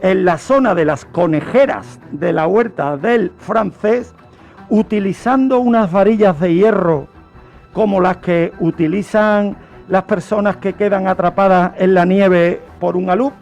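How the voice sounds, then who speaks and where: A high-voiced man from Spain narrates true crime as a radio presenter. He phrases things deliberately and repeats words for emphasis. Music plays faintly behind him. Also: a little mellow. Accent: Spanish from Spain.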